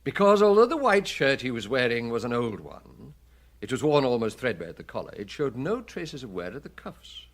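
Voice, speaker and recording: An actor with a British accent playing a dry, deliberate, deadpan police detective in a radio drama. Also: male voice, medium pitch. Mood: drily teasing. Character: dry, wry, unhurried male police detective chief.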